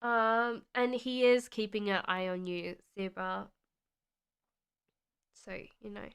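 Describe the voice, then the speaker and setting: A woman's voice: mid-range voice, takes it slowly, clean tone. A woman from Australia, with an Australian accent mixed with British, chatting through a live-stream tarot reading, reading viewer comments aloud and laughing often. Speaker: tarot reader. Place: home in the UK.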